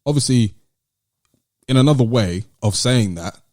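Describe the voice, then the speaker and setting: A man's voice: medium pitch, animated, moderate pace, darkish tone, no echo, clean studio sound. A man co-hosting a podcast, discussing things in a casual, conversational way. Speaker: podcast co-host.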